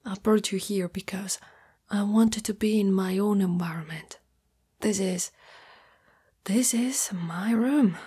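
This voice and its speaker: A shy spider monster girl, played by a woman, speaks in an ASMR roleplay. Her voice is an extremely breathy whisper, soft and mellow in tone. She goes slowly and hesitantly, tender and lovestruck, as if confiding in a partner. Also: mid-range voice, heavily acted. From Italy.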